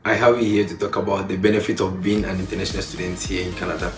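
A man's voice: sits low, flat delivery, rapid-fire. A young man hosting a show, giving a polite, formal scripted introduction from a couch. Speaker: channel host. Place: living room, on a couch. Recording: distant, roomy, faint music.

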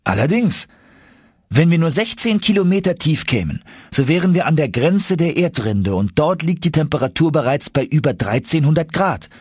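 A man's voice: on the high side, sing-song, moderate pace, a little mellow, slightly rough, no echo, clean studio sound, voice pushed up. A man voicing an anxious, doubtful young nephew, hesitant and protesting, in an audiobook.

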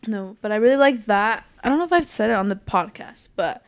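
A young woman co-hosting a podcast from a hotel room, rambling with lots of "like" and trailing off softly, sitting back from a shared mic. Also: mid-range voice, animated, brisk. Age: young adult. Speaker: podcast co-host. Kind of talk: casual chat. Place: hotel room, seated on bed.

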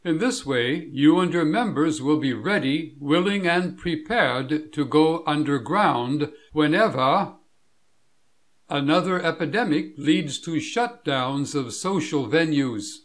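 Man, somewhat high pitch, very slow pace, deliberate scripted narration, measured delivery. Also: animated.

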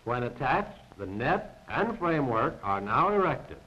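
A man's voice: mid-range voice, sing-song, unhurried, sharp tone, husky. A man narrating a scripted 1940s military training film in a formal, precise, instructional manner. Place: voice-over recorded apart from the pictures. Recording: dull, band-limited 1940s film soundtrack.

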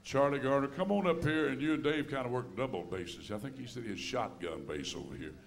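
A sixty-year-old man bandleading on a nightclub stage, calling people up in loose, unhurried stage banter into a stand mic. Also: mid-range voice, expressive, vocal fry. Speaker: singer and bandleader. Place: nightclub stage, live band. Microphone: vocal mic on a stand. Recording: live club sound, loud band.

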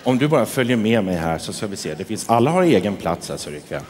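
A man's voice: fairly low pitch, sing-song. A man playing a fussy, bossy event host. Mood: prim. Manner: prim and bossy, bursting into shouting. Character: fussy, uptight male event host who loses his temper. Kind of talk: comedy sketch acting. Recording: echoey hall with crowd and noise.

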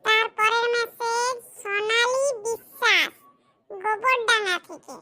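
A man voicing a bossy, teasing cartoon sidekick with a rural West Bengali accent and a pitched-up voice. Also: slow pace, noisy background. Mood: matter-of-fact. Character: bossy, teasing male sidekick in a sari business. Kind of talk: comic cartoon dialogue.

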